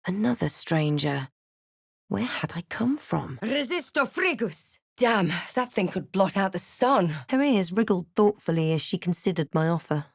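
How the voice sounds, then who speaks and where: A woman's voice: medium pitch, very animated, measured pace, gravelly, husky, dry recording, studio quality, full character voice. An actress playing a snarky young female adventurer hero in a fantasy video game, delivering scripted lines. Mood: commanding, incanting, then wry, musing.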